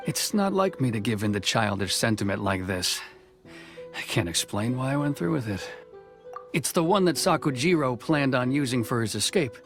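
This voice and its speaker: A man's voice: mid-range voice, animated, close to an everyday voice. A man playing a stern, reserved young official. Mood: reflective. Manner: formal, reserved, measured. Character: stern young male samurai-style official, conflicted. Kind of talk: video game voice acting. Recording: game soundtrack music faint behind.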